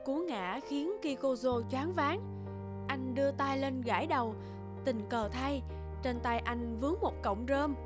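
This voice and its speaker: A woman tells a scripted folktale for children in a high voice. Music plays clearly behind her narration. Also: a little slow. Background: background music.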